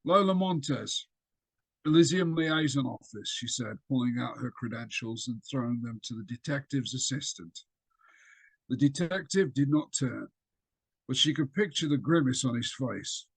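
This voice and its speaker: A man reading an extract of his own fiction aloud over a video call, with a steady storytelling delivery and small slips. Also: medium pitch, moderate pace, gravelly, husky, dry recording, poor audio.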